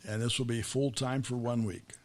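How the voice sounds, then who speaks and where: A man's voice: mid-range voice, steady tone, moderate pace. A middle-aged American man hosting a podcast interview, asking questions in a loose, rambling way with restarts. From United States. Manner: conversational, asks questions in rambling runs with restarts. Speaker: podcast host.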